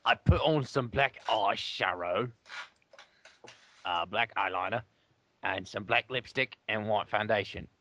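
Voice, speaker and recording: A man's voice: fairly low pitch, a little slow, long pauses, bright, forceful tone. A young man doing a theatrical read-aloud with dry asides, recorded on a lo-fi microphone. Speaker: player in a comedic dramatic reading game.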